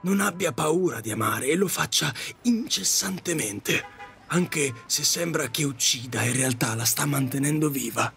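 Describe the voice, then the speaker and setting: A man's voice: on the high side, unhurried, vocal fry, a bit bright, faint music behind. A man acting an earnest, polite and kindly taxi driver in an audio drama. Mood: earnest, pleading.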